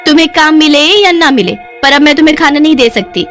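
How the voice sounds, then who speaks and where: A woman voicing a stingy, scornful village neighbour in a cartoon story, sharp and reproachful. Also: high-pitched, expressive, a little fast, faint music behind, pitched above the performer's own voice. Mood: curt, refusing.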